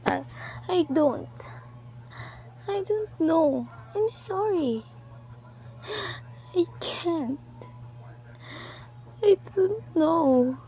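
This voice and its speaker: A woman's voice: very high voice, animated, drawn-out words, pauses often, warm tone, full character voice. A woman playing a frightened, fragile teenage girl in a radio drama, timid and faltering. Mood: tearful, afraid.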